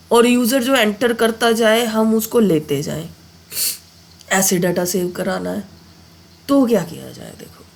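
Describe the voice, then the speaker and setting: A woman's voice: fairly high pitch, gravelly. A woman with a sore throat and a cold, teaching a programming lesson in a casual way and often asking her students "okay?" with a lift in her voice. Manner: keeps checking in with a rising "okay?". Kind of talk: casual Hindi coding lesson.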